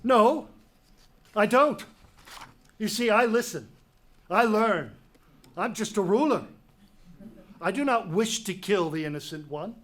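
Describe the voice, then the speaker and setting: Imperious tragic king, high-pitched and commanding, stubborn and quick to temper, Greek drama. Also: animated, a bit bright, full character voice. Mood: cold, measured. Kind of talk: dramatic reading of Greek tragedy.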